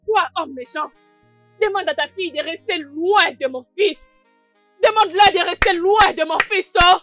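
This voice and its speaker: A woman's voice: very high voice, measured pace, sharp tone, dry recording, soft background music, heavily acted. A woman playing a fiery, defiant village mother who protects her son, combative and indignant, in a dubbed melodrama. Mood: defiant, threatening.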